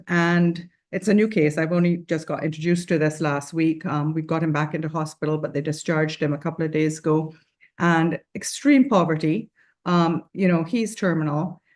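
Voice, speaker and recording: A woman, speaking on a panel as a charity founder, earnest and rambling with many fillers and restarts. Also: low-pitched. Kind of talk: casual panel discussion.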